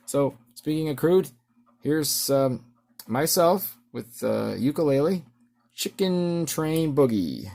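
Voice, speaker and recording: A middle-aged man giving a casual show-and-tell as an amateur musician, in a halting, rambling way. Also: a little high, sing-song, vocal fry, a little mellow. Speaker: amateur musician presenting his record.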